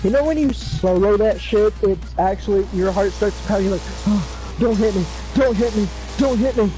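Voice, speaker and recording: A man chatting casually during a game livestream, rambling with fillers and swearing, his voice going hoarse. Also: high-pitched, moderate pace, mellow, soft background music, rough recording. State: losing his voice. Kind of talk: casual chat.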